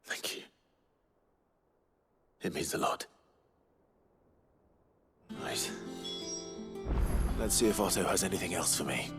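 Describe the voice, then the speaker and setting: A solemn hero of a fantasy game. His voice is deep and his pace slow, with short, restrained, earnest lines. Music is clearly heard behind him. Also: lively intonation, fairly bright tone, full character voice. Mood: calm, earnest. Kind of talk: video game voice acting.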